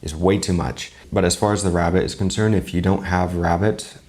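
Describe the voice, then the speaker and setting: Deep-voiced chef, chatty reaction host, explains things at length. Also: male voice, steady tone, brisk, a little mellow. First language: English. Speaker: chef reviewing cooking videos. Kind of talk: casual video commentary. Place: lives in Spain.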